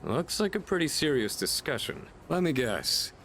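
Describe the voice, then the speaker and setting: An actor playing a cocky, athletic high school boy in a video game, casual and teasing. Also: very animated, moderate pace, fairly bright tone, soft background music.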